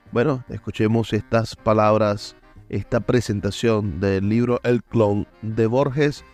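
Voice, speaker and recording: A man's voice: fairly low pitch, darkish tone. A Venezuelan man presenting a radio programme and reading sponsor messages in a scripted style. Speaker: radio host. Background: music under the voice.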